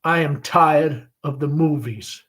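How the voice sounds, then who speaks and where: A man's voice: on the high side, takes it slowly, fairly bright tone. A man playing a restless, dreamy and discontented young warehouse worker in a stage play read aloud, with impassioned, brooding speeches. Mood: impassioned, bitter.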